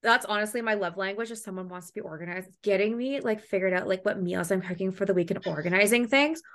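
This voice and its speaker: Bright-voiced chatty woman podcast host. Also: medium pitch, fast talker. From Canada. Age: 20s. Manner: swears freely, animated and chatty. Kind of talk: casual interview chat.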